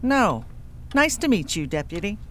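A woman's voice: medium pitch, very animated, normal speed, a little hiss or hum, lightly acted. An actress playing a poised, earnest bank woman in a western radio drama, polite and grave. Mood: cordial.

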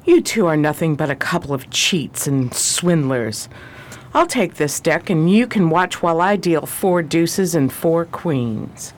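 An actress playing a shrewd, cool 1920s adventuress who talks tough and wry in a radio crime drama. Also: female voice, low voice, lively intonation, measured pace, slight background noise. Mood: defiant. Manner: wry, slangy, tough talk.

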